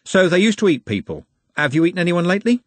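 A casual, reasonable lad from a storybook voiced by a male narrator. He talks breezily, slightly raised in pitch, in a very dry recording. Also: very animated, fast talker, dry recording. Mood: breezy. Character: casual, reasonable, loyal young man.

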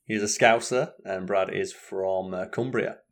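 A man's voice: low-pitched, fairly even intonation, measured pace. An English man co-hosting a live stream from home, chatting casually in a rambling way and swearing freely. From England. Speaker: co-host.

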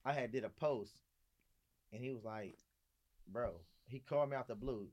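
A man's voice: mid-range voice, normal speed. Slangy Los man telling stories. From Gardena, California. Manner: animated, slangy, repeats phrases for emphasis. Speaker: podcast guest, restaurant owner. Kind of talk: casual chat, storytelling.

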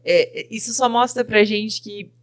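A woman's voice: medium pitch, normal speed. A woman hosting a podcast interview, affirming and encouraging her guest. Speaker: podcast host.

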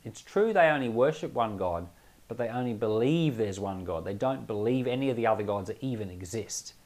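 A man's voice: medium pitch, darkish tone. Conversational man teaching the Bible. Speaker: pastor and Bible podcast host. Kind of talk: informal Bible teaching with commentary and prayer.

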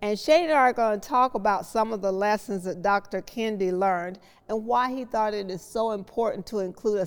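A woman hosting a book discussion, explaining ideas in a measured, deliberate manner. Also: mid-range voice, clear, resonant tone. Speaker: host of a discussion series. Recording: long hall reverb on the voice.

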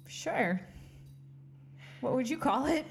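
A woman's voice: high-pitched, lively intonation, takes it slowly, creaky, slight background noise. Giggly rambling woman podcast host. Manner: giggly, rambling, self-deprecating. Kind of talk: casual chat. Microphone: studio mic with headphones.

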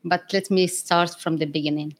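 A woman's voice: fairly low pitch, fairly even intonation, normal speed. A woman from Morocco telling her story as an interview guest, speaking English as a later language with frequent laughs. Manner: laughs often, non-native English grammar.

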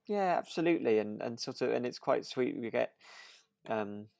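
A woman's voice: rumbling low, lively intonation, moderate pace, gravelly, dark tone, no echo, studio quality. A woman co-hosting a podcast, chatting casually and agreeably as she hesitantly thinks aloud. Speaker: podcast co-host. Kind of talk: relaxed casual chat about a radio drama.

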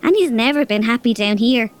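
A woman's voice: on the high side, expressive, brisk, clean tone, close to an everyday voice. An actress with an Irish accent playing a tough, bossy robber girl who also narrates. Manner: bossy, threatening, blunt. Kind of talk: audio drama acting.

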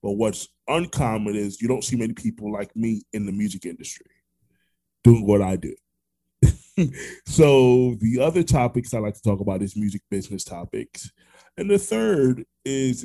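A man with an American accent hosting a casual interview podcast, repeating words as he goes in a halting way. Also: lowish voice, lively intonation, dark tone. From Orlando, Florida, United States. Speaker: podcast host.

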